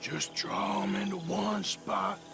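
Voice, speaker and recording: An actor playing a seasoned, laconic gunslinger in a western video game, dry and protective, with an American accent. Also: male voice, sits high, steady tone, takes it slowly, vocal fry, over music, full character voice. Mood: dry, scheming. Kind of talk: naturalistic video game acting.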